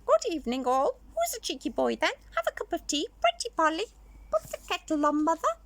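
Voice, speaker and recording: Parrot skeleton , female reader: cheeky storybook bird, high, chattering, sing-song, animated. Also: a little fast, full character voice.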